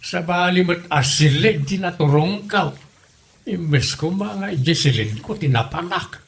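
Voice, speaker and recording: A man's voice: on the high side, animated, measured pace, creaky, a little hiss or hum. A man playing an aggrieved, plaintive elderly father with an Ilocano Filipino accent in a comedy audio drama. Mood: defensive, plaintive. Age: older. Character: aggrieved elderly father, fond of liquor, pleading innocence. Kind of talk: comedy radio drama acting.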